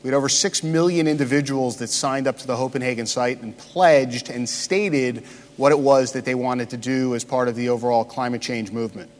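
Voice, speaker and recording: A man speaks at a conference, his mid-range voice trailing into long hall reverb. He pauses often and stumbles through fillers as he walks the audience through slides. The sound carries the wash of a big room. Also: mid-range voice, fairly even intonation. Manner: halting, many "uh" fillers. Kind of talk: conference presentation.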